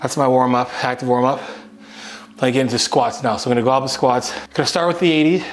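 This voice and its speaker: A man's voice: mid-range voice, quick pace, slight background noise. A man in his thirties talking casually through a home workout, explaining each exercise as he goes in a small indoor gym. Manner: relaxed, explanatory, talks while exercising. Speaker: fitness coach.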